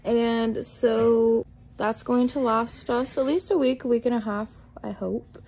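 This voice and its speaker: A woman's voice: on the high side, fairly even intonation, unhurried, vocal fry, darkish tone, husky. A woman in her twenties with an American accent, chatting casually to her vlog camera at home. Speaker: vlogger. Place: indoors at home, living room and kitchen. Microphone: phone or camera at arm's length. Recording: noticeable room noise from handheld camera.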